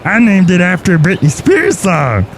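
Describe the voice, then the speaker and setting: A high, gravelly brewmaster voice that swoops up and down, as a man puts it on. He is boastful and scatterbrained and rambles on obliviously. Faint outdoor noise sits behind him. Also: wildly swooping pitch, normal speed, full character voice. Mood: goofy.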